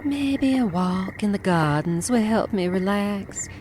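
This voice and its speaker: A woman's voice: mid-range voice, expressive, unhurried, slight background noise, close to an everyday voice. A woman acting a suspicious, betrayed, easily startled wife in a melodramatic audio drama. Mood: shocked, bitter. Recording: loud music over the voice.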